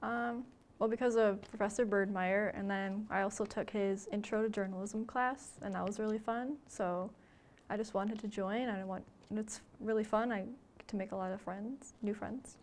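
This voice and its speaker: A woman's voice: medium pitch, fairly even intonation, normal speed, slight background noise. A young woman student journalist from Michigan, chatting casually and hesitantly, with lots of fillers. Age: young adult. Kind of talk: casual interview chat.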